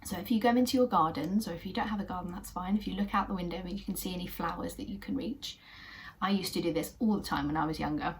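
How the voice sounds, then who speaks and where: Gentle English woman reading to children. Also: lowish voice, fast, a little hiss or hum. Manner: gentle, encouraging, sometimes whispery narration. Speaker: children's puppet show host. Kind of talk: storytelling for children.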